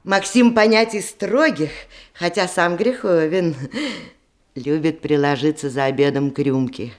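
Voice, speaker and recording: An actress playing a genteel provincial widow in a radio play, narrating in a chatty, ornate, old-fashioned way. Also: female voice, deep voice, measured pace. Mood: gossipy. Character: genteel provincial widow hostess of nineteenth-century Russia.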